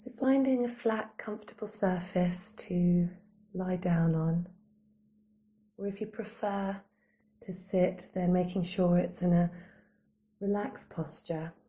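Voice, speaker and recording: A woman with a deeply mellow, slightly low voice leads a guided body scan. She speaks calmly and gently, without hurry. Her soothing instructions are spaced out with long pauses. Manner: calm, unhurried, gentle phrasing with long pauses. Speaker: meditation guide.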